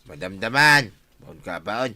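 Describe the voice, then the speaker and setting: A man's voice: lowish voice, expressive, measured pace, sharp tone, exaggerated voice. A man playing a put-upon village husband, grumbling and joking in a colloquial way, in an audio drama with an Ilocano accent. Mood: reluctant, grumbling. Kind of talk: Filipino audio drama acting.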